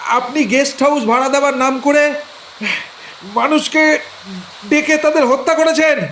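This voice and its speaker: A man's voice: extremely high pitch, measured pace, a bit bright, roomy sound, a little hiss or hum, voice pushed up, full character voice. A man voicing a frightened young man fleeing a killer, in an urgent, raised voice.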